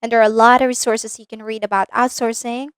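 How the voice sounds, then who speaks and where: A woman's voice: fairly high pitch, little pitch movement, quick pace, clear, resonant tone, no echo, clean studio sound. A woman from the Philippines with a Filipino accent, giving a scripted business lesson in a direct way and stopping to ask the viewer checking questions. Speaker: business coach. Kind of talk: scripted instructional video.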